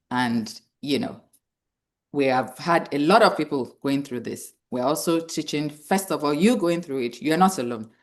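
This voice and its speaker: A woman in her fifties giving a motivational awareness talk, earnest and encouraging, repeating key phrases for emphasis. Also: deep voice. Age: 50s. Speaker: speaker hosting a cancer awareness event.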